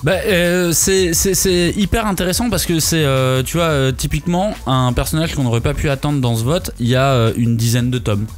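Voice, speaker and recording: A man's voice: on the high side, fairly even intonation, moderate pace, hardly any pauses, soft background music. A young man discussing manga on a podcast panel, talking with frequent hesitations and restarts. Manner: hesitant, many fillers and restarts.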